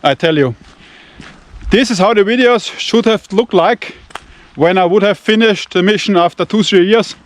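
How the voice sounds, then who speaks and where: Blunt high-voiced Austrian man. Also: moderate pace. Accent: Austrian German. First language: Austrian German. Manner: blunt, profane, rambling in broken English. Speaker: knife-testing channel host. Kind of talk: casual walking vlog. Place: outdoors among vineyards and fields.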